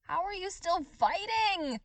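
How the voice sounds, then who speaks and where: A woman acts out a menacing male villain losing his composure. The voice jumps high and loud, shrill and incredulous, as in a dramatic story reading. It is recorded dry and close. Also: expressive, slow pace, dry recording, heavily acted. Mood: screaming. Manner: shrill, incredulous, insistent shouting. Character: frightening male villain, panicked, screaming in disbelief.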